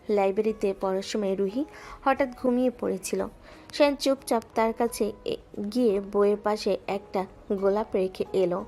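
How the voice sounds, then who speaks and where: Woman narrating a romance story. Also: medium pitch. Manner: calm, even storytelling.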